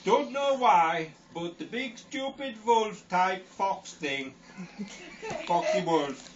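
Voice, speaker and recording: A giggly man rambles through a silly bedtime story in a high, lively voice, taking his time. He sounds far from the mic on dull audio with a faint hiss behind. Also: takes it slowly. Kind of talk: improvised comic storytelling. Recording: distant lo-fi home recording with hiss.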